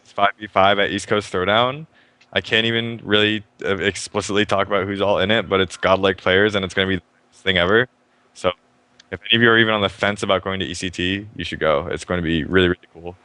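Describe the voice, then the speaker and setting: Young man, stream host, low voice, bright tone, quick, restarts sentences, dry sound. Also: steady tone, clean tone, no echo. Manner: fast, restarts sentences, upbeat. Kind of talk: casual game commentary.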